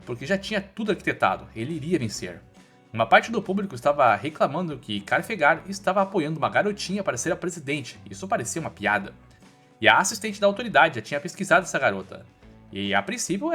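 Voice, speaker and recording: Lively Brazilian man narrating a story recap. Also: a little high, quick pace, husky. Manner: storytelling with colloquial slang. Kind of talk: informal scripted story narration. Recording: voice-over with background music. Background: music.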